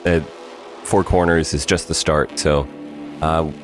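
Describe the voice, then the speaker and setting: A man's voice: very deep voice, steady tone, unhurried, over music. A man co-hosting a podcast, upbeat and laughing often as he chats and reads promos. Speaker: co-host. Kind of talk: casual podcast chat.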